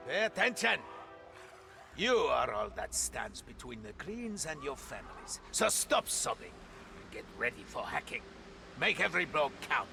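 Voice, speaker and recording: An actor playing a gruff, battle-hardened army commander in a video game, with a gravelly voice and a blunt, commanding manner. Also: male voice, fairly high pitch, very animated, moderate pace. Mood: stern, scornful. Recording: loud music behind the voice. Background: dramatic game music.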